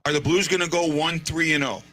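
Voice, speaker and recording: A man hosting a live fan show, giving rambling, excitable and sarcastic commentary while watching a game. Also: on the high side, brisk.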